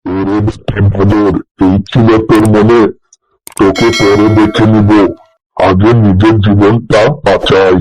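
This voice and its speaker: A man voicing a gullible, blustering but cowardly tiger with a husky voice in a cartoon fairy tale. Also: on the low side, flat delivery, slow, a little mellow, soft background music, poor audio. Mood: angry, panicked.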